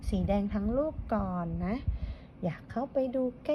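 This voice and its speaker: A woman voicing a group of young, eager, impatient little mice in a storybook reading, with a high, whiny childlike voice. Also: moderate pace, natural voice. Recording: dull lo-fi with faint noise.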